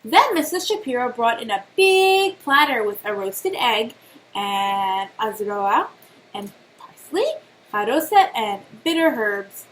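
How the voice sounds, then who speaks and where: A woman reading a children's storybook aloud like a teacher, expressive and pausing to put questions to young listeners. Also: a little high, slight background noise, rough recording.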